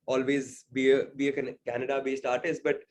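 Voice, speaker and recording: A man's voice: mid-range voice, little pitch movement, a little fast, darkish tone. A man being interviewed as a singer-songwriter, talking casually and thoughtfully, hedging often with "sort of" and "I think".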